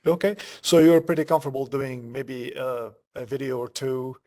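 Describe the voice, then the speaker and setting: A man hosting a conversational online interview, pausing often in the middle of his questions. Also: medium pitch, darkish tone. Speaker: interview host.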